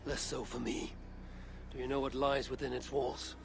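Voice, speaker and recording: A man's voice: medium pitch, flat delivery, moderate pace, gravelly, heavy background noise, natural voice. An actor playing a bold, self-assured male Viking warrior in a video game, speaking tersely in formal, archaic phrases. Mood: confident. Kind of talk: dramatic video game dialogue.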